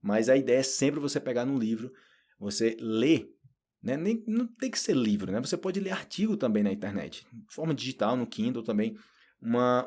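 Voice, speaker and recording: A man with a Brazilian Portuguese accent giving a casual spoken Portuguese lesson, chatty and animated, often acting out quoted inner thoughts. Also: medium pitch. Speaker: Portuguese teacher.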